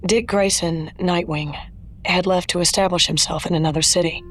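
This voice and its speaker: Low-voiced, weary older woman played by an actress. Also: fairly even intonation, normal speed, close to an everyday voice. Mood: resigned, sombre. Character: weary, guarded older female former crime fighter. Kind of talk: audio drama acting.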